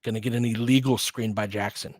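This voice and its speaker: A man's voice: fairly low pitch, steady tone, fairly quick, no echo. A man giving informal live basketball play-by-play with bits of analysis, in clipped, slangy, conversational phrases as a partisan fan. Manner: partisan fan of one team.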